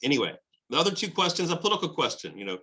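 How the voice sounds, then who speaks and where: Bright-voiced male history lecturer. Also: fairly high pitch, racing. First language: English. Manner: lively, emphatic, many fillers and restarts. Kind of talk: recorded lecture.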